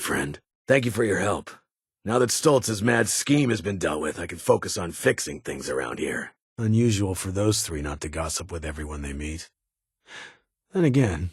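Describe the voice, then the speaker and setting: Game security chief, military, stern and guarded, rough husky voice, clipped authoritative delivery, dry close sound. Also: male voice, low-pitched, animated, normal speed, no room sound, full character voice. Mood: businesslike. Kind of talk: video game character dialogue.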